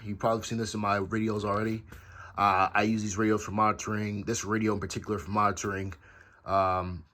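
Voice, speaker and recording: An American man giving a casual show-and-tell as a hobby video host, halting and correcting himself as he goes, recorded in a room at home. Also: lowish voice, fairly bright tone. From United States. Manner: halting, pausing mid-sentence, self-correcting. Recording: clearly noisy amateur home recording with clicks.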